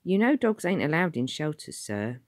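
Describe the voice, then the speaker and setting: A woman voicing a brisk, polite middle-aged air-raid warden who speaks loudly and briskly. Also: deep voice, big pitch swings, no room sound, studio quality, voice pushed up. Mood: gentle. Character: breezy middle-aged shelter warden, brisk but gentle.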